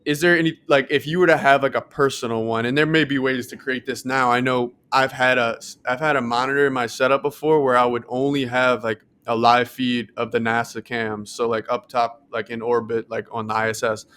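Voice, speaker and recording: Male podcast co-host, mid-pitched and clean-toned, loose conversational style with casual swearing. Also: level tone, fairly quick, hardly any pauses, fairly bright tone, clear, resonant tone. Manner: informal, meandering, profane, posing questions. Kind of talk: casual chat.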